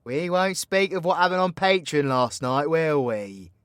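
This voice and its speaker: A man's voice: fairly high pitch, lively intonation, a little slow, fairly bright tone, smooth, no room sound, studio quality. A British man voicing a cocky, crude, flirty supervillain in a roleplay audio, with sweary, teasing, slangy banter. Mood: cheeky flirting. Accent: British English. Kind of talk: villain roleplay ASMR audio drama.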